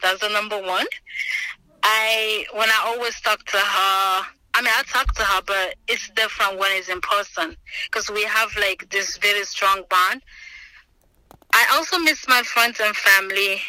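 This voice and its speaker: A young woman, her voice very bright and tense. She speaks earnestly and thoughtfully, often pausing on "um" and restarting. The sound is dry and close over a video call. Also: mid-range voice, no room sound, rough recording. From Senegal. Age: 20s. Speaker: interviewee, student. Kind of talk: oral history interview. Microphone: remote video call.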